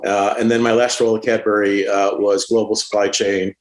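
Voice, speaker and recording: Low-voiced man in an echoey room. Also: flat delivery. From born in Cuba, grew up in Staten Island, New York. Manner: measured, with frequent "uh" fillers. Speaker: podcast guest, supply chain executive. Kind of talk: conversational career story.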